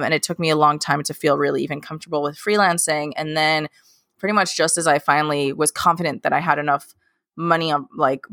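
Woman, late twenties, bright tone, rambling and chatty, guest in an interview. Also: sits low, no room sound, studio quality. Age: late 20s. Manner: chatty and rambling, with many fillers and restarts. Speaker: guest, K-pop journalist. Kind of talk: casual interview talk.

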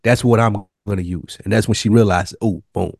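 A man co-hosting a casual podcast, talking in animated slang and acting out lines from the show. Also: fairly low pitch, a little fast, gravelly, breathy edge, no echo, studio quality. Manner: animated, slangy, acts out quotes. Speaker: podcast co-host. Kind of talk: casual TV recap chat.

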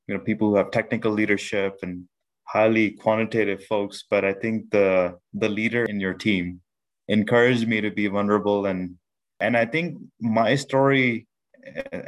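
A man from Pakistan in his thirties, a podcast guest telling his life story in a measured way with many restarts. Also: on the low side. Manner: measured, with frequent restarts and fillers. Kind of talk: reflective storytelling interview.

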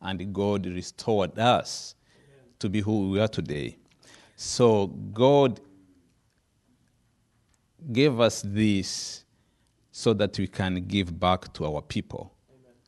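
Man from Rwanda, low voice, slow emphatic testimony delivery. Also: darkish tone. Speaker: missionary guest. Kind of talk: church testimony.

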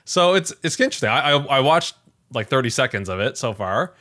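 A man's voice: mid-range voice, big pitch swings, a little fast, sharp tone. A man hosting a podcast in a casual chat style, conversational and often hedging as he thinks aloud. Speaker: podcast host.

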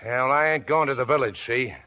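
An actor playing a greedy, paranoid young prospector who snarls and mutters to himself, in an old radio play. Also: male voice, mid-range voice, brisk, close to an everyday voice. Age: young adult. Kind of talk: 1940s radio acting. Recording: dull lo-fi old radio recording.

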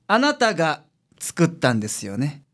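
A man's voice: on the high side, wildly swooping pitch, normal speed, fairly bright tone, heavily acted. A man playing a shrewd, blunt, laid-back male private detective with dry, probing delivery in a radio drama.

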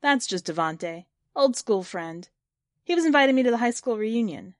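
Woman as a flustered, evasive mom, raised pitch. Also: animated, normal speed, dry recording, clean studio sound, natural voice. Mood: deflecting. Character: evasive, flustered middle-aged mother, brushing things off.

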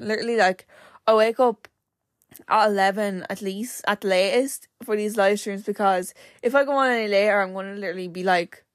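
A young woman talks through her solo podcast in a loose, rambling way, leaning on "like" again and again. Her mid-pitched voice is slightly croaky, with the odd crack, and the sound is close and dry. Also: no room sound. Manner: chatty and rambling, full of "like" fillers. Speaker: podcast host. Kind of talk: casual solo podcast chat.

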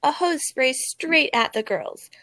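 A woman's voice: high-pitched, unhurried, sharp tone, dry recording. A woman doing scripted narration for an amateur audio play.

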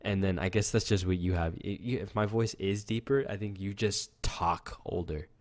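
Low-voiced young man rambling casually. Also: fairly even intonation, normal speed, dry recording. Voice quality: sounds youthful, like a teenager. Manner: rambling, loose storytelling with lots of fillers and swearing. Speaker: podcast co-host. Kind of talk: casual chat.

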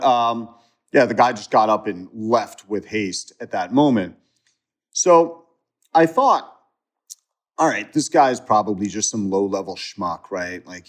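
Man telling a story, casual and animated. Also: medium pitch. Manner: conversational, many fillers and restarts, animated retelling. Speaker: interview guest.

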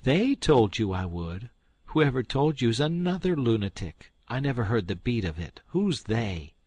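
A man voicing a fussy, quick-tempered elderly farm wife from the South, shrill and scolding. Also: expressive, darkish tone, breathy edge, no room sound. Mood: indignant.

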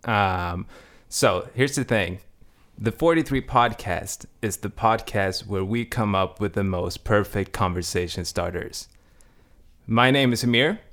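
A young man hosts a casual podcast chat from an apartment. His voice sits fairly low, and he rambles easily, restarting his sentences and laughing often. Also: measured pace, a bit bright. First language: Swedish. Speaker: podcast co-host.

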